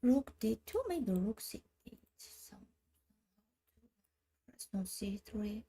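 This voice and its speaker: Slow, husky Armenian-born woman. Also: medium pitch, animated, dark tone. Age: 30s. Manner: slow, halting, muttering moves half to herself. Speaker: chess streamer. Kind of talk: thinking aloud while playing online chess.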